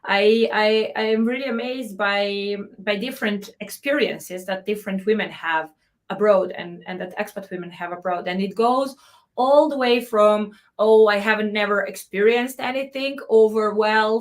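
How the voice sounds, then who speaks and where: Female host, online panel moderator, mid-pitched and steady. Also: moderate pace. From Serbia. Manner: warm encouraging questioner, reads chat comments aloud.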